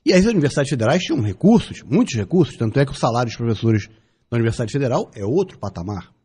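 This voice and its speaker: A man's voice: medium pitch, very animated, fast talker, warm tone, husky. Emphatic man in his fifties, radio commentary. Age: 50s. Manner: emphatic, builds arguments with repetition and rhetorical questions. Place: radio studio.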